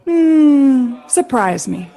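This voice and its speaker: A woman playing an elegant, caring star singer with a husky voice, poised and teasing, in an audio drama. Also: high-pitched, big pitch swings, very slow, mellow, heavily acted, poor audio. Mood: playful, gracious.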